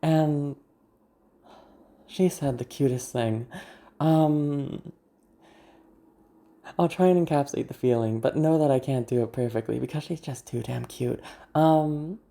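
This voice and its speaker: An ASMR content creator with a naturally gravelly, growly voice, talking to viewers in a candid, self-deprecating, rambling way. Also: medium pitch, a little slow, long pauses, mellow. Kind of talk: casual personal talk to viewers.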